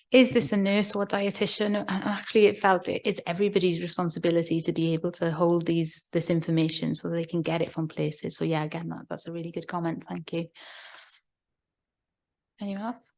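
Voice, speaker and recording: A woman's voice: fairly low pitch, steady tone, fairly quick. A woman from Wales, a fluent Welsh speaker, leading a workshop discussion in a chatty, encouraging way with many restarts. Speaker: workshop facilitator.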